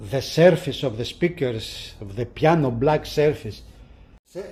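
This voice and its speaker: Slow, mellow man presenting a product. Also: mid-range voice. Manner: halting, hesitant delivery with repeated phrases. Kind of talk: casual unscripted product walkthrough.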